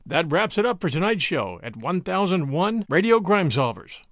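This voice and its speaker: A high-voiced man with animated intonation, hosting in a scripted presenter style. The sound is dry and close. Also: normal speed, dry recording. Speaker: podcast host. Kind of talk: scripted show sign-off.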